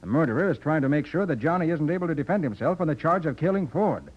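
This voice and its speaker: Confident master sleuth, 1940s radio crime drama, rapid emphatic delivery, dull old recording. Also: male voice, on the high side, lively intonation. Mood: thoughtful. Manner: assured, deductive, emphatic. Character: shrewd, confident male private detective, reasoning things out.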